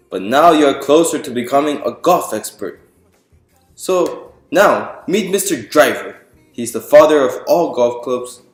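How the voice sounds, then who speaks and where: A man reading a picture book aloud to an audience in a clear explanatory, presentational way, putting questions to the listeners. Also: medium pitch, echoey room. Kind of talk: storytime read-aloud to an audience.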